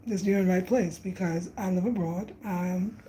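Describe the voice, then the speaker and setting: A woman's voice: on the low side, steady tone, moderate pace, warm tone, a little hiss or hum, low-quality recording. A woman in her fifties from New York, talking casually and reflectively about travel and spirit, repeating phrases for emphasis. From Brooklyn, New York. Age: 50s. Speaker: travel vlogger.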